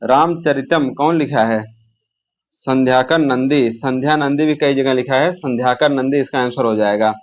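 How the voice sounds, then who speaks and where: A man's voice: medium pitch, moderate pace. Man teaching exam revision. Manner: repeats key terms, questions and answers himself. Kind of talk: informal exam revision lesson.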